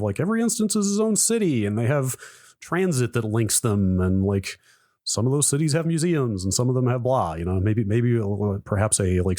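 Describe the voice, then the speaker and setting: Low-voiced guy, hesitant agreeable podcast co-host, super dry sound. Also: darkish tone, no echo. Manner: hesitant, agreeable, short replies. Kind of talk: casual tech podcast chat.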